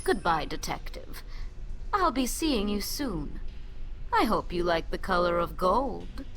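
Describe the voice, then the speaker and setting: A woman's voice: lowish voice, very animated, takes it slowly, a bit bright, slight background noise, exaggerated voice. An actress playing a smug, power-hungry villain queen in a video game cutscene, theatrical and taunting. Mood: smug menace.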